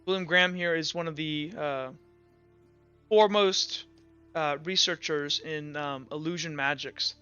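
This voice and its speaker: A man plays a grave courtroom judge in a tabletop game, measured and procedural. The voice is bright and very clear, picking its formal words with halting care. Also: on the high side, steady tone, highs cut off, soft background music. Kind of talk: tabletop roleplay character speech.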